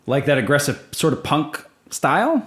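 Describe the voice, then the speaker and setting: A man's voice: on the high side, big pitch swings, normal speed, a bit bright, reverberant. A man co-hosting a casual podcast, reading comments aloud and laughing often. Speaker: podcast co-host. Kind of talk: casual chat.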